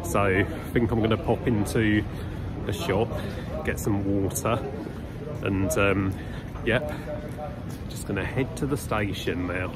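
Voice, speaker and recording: A British man in his twenties chatting casually to a phone held at arm's length, speaking in a hesitant, halting way. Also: lowish voice, a loud crowd. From Britain. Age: 20s. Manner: hesitant, halting, many pauses with ums. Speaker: vlogger. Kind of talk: casual vlog talk to camera. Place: on the move: street, shopping centre, station, small studio.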